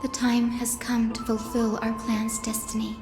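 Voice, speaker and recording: An actress playing a gentle, earnest young woman descended from sky people, polite and formal, in a fantasy video game. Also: on the high side, completely flat delivery, moderate pace, natural voice. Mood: reverent, solemn. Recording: echoey hall reverb, music underneath.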